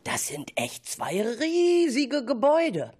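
A woman's voice: on the high side, huge pitch swings, slow, darkish tone, breathy edge. A woman narrating a children's story expressively, with playful asides.

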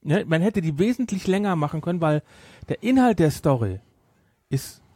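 Emphatic man, close dry sound, higher pitch. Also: expressive, normal speed, darkish tone, no echo. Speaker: podcast host. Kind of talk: casual discussion, reviewing an audio drama.

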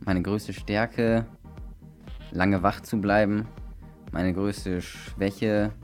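A man's voice: on the low side, fairly even intonation, very slow, faint music behind. A young man giving short, casual answers as an interview guest. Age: young adult.

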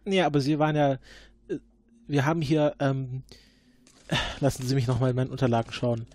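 A man playing a formal time-travelling agent who questions a suspect in halting, filler-laden speech during an improvised roleplay. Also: mid-range voice, little pitch movement, darkish tone, natural voice. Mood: probing. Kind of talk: improvised murder-mystery roleplay.

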